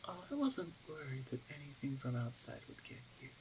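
A woman voicing a gentle, protective older orc shaman in an ASMR roleplay, speaking softly and soothingly in breathy half-whispers. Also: extremely low pitch, very animated, brisk, background noise. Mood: calm, caring.

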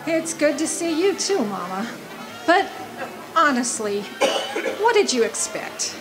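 A woman playing a loud, brassy, flashy dead woman, wry, defiant and flirtatious, in a radio drama. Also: sits high, very animated, takes it slowly, over music, heavily acted, low-quality recording. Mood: wry, hurt.

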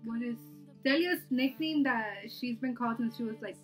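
Twenty-something woman gabbing w her sister on a couch, higher voice, lots of fillers, faint guitar under it. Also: normal speed, low-quality recording. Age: 20s. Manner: chatty, laughs often, trails off with fillers. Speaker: YouTube channel host. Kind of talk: casual sister tag chat. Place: bedroom, seated on a couch.

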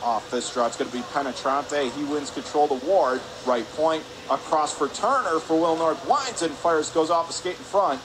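A man's voice: fairly high pitch, moderate pace, few pauses. A man calling live hockey play-by-play for radio, in clipped, urgent phrases as the action moves. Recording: clear arena noise behind voice. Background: ice rink ambience.

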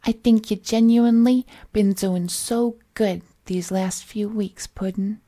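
A woman's voice: mid-range voice, warm tone. A woman playing a cheerful, possessive villainess kidnapper in a roleplay audio drama, cooing and coaxing the listener in folksy slang. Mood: doting, praising. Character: cheerful, possessive female supervillain kidnapper, doting and unhinged.

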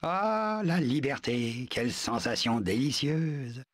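A bossy soldier-like animal. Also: male voice, medium pitch, lively intonation. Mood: commanding. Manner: brisk, commanding, self-important. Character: bossy military-minded male zoo animal. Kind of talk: video game dubbing.

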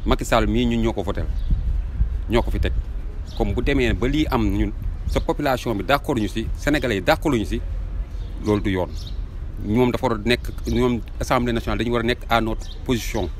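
A man's voice: mid-range voice, fairly quick. Senegalese guy giving a fired-up street interview, emphatic, bit of noise outside. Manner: emphatic, repeats rhetorical questions. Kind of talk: impassioned vox pop opinion, mixing two languages. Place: outdoors in a city street. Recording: outdoor street ambience and traffic.

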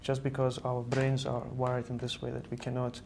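A man's voice: medium pitch, monotone, moderate pace, creaky, a little mellow, a little hiss or hum. A man in his thirties from Estonia, speaking as an earnest guest in a studio interview, often repeating words as he explains. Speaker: guest, software engineer. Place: virtual news-style studio set.

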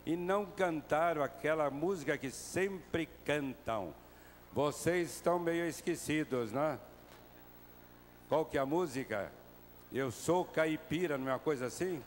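Slow-speaking bishop in a huge reverberant hall. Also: male voice, a little high. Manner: slow, measured and familiar with the crowd. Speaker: bishop leading Mass. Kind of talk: celebrating Mass, closing rites and blessing. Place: huge covered hall full of worshippers. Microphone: handheld microphone. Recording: long reverb of a large hall, faint noise.

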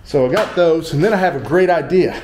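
A man's voice: on the high side, fairly quick, darkish tone. American man in an echoey room. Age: 50s. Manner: folksy, joking, thinking aloud. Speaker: vlog host. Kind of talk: casual chat while demonstrating DIY. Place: small tiled living room indoors. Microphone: handheld action camera, varying distance. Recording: echoey room, clear background noise.

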